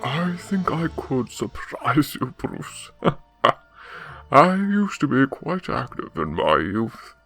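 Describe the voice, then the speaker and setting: An actor playing a suave, pompous older crime boss with an oily, formal manner in a radio drama. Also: male voice, mid-range voice, huge pitch swings, slow pace, a bit bright, soft background music, exaggerated voice. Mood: ingratiating, sly.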